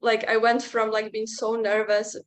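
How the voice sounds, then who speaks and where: Young woman on a video call, pretty monotone, lots of "like"s. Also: a little high, moderate pace. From Czech Republic. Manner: hesitant, many "like" fillers. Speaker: interview guest, client. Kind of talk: casual testimonial chat.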